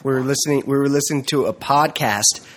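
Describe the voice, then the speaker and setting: A podcast co-host, a man with a congested, nasal voice from a cold. His tone has a husky, slightly breathy texture. He teases his friend in dry, exaggeratedly formal language. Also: medium pitch, fast talker. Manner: dry mock-formal teasing. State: sick, stuffy and nasal. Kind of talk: casual comedic chat.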